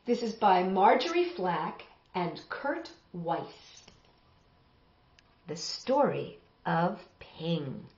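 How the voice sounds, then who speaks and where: A middle-aged woman reading a picture book aloud as a storytime host, expressive and dramatic, addressing young listeners directly. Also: on the low side, very slow. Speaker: children's storytime host and reader. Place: small home room, indoors, seated on a sofa. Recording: roomy, slightly dull home video sound.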